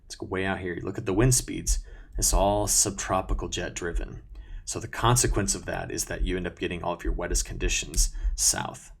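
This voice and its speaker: A man's voice: sits low, level tone, brisk, gravelly, husky. A man presenting an unscripted weather briefing in a conversational, self-correcting way, talking viewers through maps as a forecaster. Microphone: new sensitive close mic at a desk.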